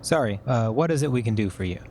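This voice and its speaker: An actor playing a cautious, anxious amateur hacker in a naturalistic podcast drama, hesitant and defensive. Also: male voice, mid-range voice, animated, fast talker, mellow, slight background noise, lightly acted. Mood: guarded. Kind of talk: naturalistic fiction podcast acting.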